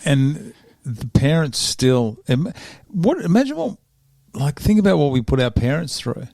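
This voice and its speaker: A man's voice: on the high side, big pitch swings, a little slow, vocal fry, mellow, no room sound, studio quality. A man hosting a casual interview, upbeat and jokey, dropping in sponsor plugs. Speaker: podcast host.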